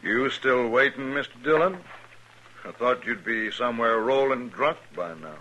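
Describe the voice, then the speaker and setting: An American actor playing a smug, taunting cowboy gang leader with a mocking drawl, in 1950s radio western style. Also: male voice, mid-range voice, normal speed, bright, forceful tone, dull audio, close to an everyday voice. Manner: drawling, mocking, falsely friendly. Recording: old radio recording with faint noise.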